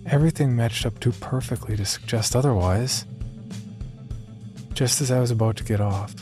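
A man performing scripted first-person horror narration as an uneasy young man, in a measured, confiding way with deliberate pauses. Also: medium pitch, darkish tone, lightly acted. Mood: wary, confiding. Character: uneasy young man recounting his sleep disorder. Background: background music.

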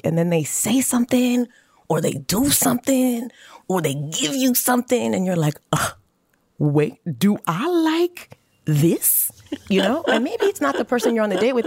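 A woman guest on a podcast telling stories in a sassy, animated way. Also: mid-range voice, normal speed, slightly rough, dry recording, clean studio sound. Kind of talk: casual podcast chat.

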